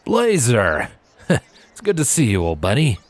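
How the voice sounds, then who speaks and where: A man's voice: medium pitch, wildly swooping pitch, a little slow, dry recording. A man voicing a wry, friendly young male pony greeting an old buddy in a cartoon fan story. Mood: delighted. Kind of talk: fan fiction character reading.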